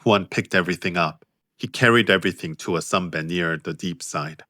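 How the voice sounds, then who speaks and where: A man narrating his own audiobook in a measured, understated way. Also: low voice, sharp tone, no echo, clean studio sound. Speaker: author and narrator.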